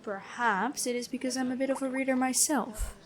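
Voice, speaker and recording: A woman playing a gentle but stern, bookish female prison guard in a fantasy ASMR roleplay, chatty and inquisitive. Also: high-pitched, fairly even intonation, a little fast, lightly acted. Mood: curious, friendly. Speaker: ASMR voice actor.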